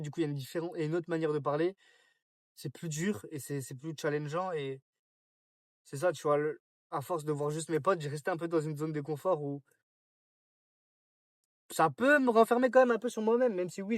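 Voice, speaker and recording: A nineteen-year-old man from France musing aloud to his viewers, with a slightly high voice. He talks in loose slang and keeps restarting his sentences. The sound is close and dry. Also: dry recording. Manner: slangy, rambling, many fillers and restarts. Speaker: vlogger. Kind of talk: casual spoken monologue.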